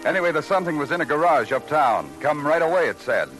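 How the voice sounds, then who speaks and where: A man's voice: on the high side, expressive, quick pace, close to an everyday voice. An actor playing a terse, sardonic big-city detective who narrates his case in a vintage radio crime drama. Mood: hardboiled narration. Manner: clipped, dry, sarcastic. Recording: old radio recording with music.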